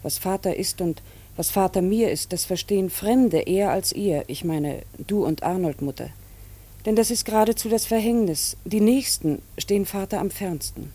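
An actress in a radio play, playing an earnest, dutiful unmarried daughter with a restrained, halting but firm manner. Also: female voice, medium pitch, dark tone, natural voice. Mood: earnest, troubled. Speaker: actor in a radio drama. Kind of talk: German radio play dialogue.

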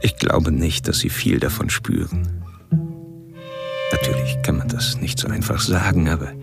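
An actor playing a cold, methodical serial killer, speaking in a clinical, detached way in a radio drama monologue. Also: male voice, sits low, gravelly, exaggerated voice. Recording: music playing loudly under the voice.

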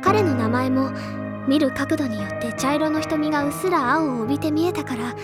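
A woman playing an earnest, imaginative high-school senior girl in an audio drama, speaking deliberately in literary, feminine phrasing. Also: natural voice. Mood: intent reasoning. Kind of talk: Japanese audio drama acting, dramatic explanatory monologue. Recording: loud music bed behind the voice.

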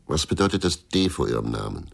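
An actor playing a stubborn, embittered, hard-drinking former policeman in a radio crime drama, blunt and confrontational, with a husky, gravelly voice. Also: very deep voice, very animated, fairly quick, exaggerated voice. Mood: curt, guarded.

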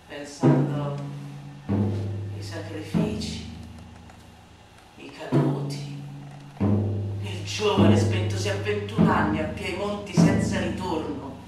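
A woman giving a declamatory, emphatic theatrical recitation of a literary monologue, with dramatic swings. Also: on the low side. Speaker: stage and radio actress performing a literary monologue. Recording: echoey room, distant mic, loud percussion music. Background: timpani and percussion music.

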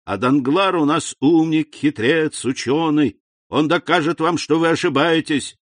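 A man plays a good-natured drunkard in a storybook tavern scene. The voice is pitched well up, boozy and boisterous, exclaiming and bragging at full volume. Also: a little slow, dry recording, natural voice. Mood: teasing, sentimental.

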